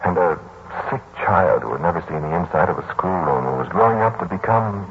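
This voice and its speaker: An actor playing a brooding mathematician lodger, narrating a haunting mystery in 1940s radio drama style with hesitant, ruminative pauses. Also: male voice, low-pitched, animated. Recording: muffled, noisy 1940s radio broadcast.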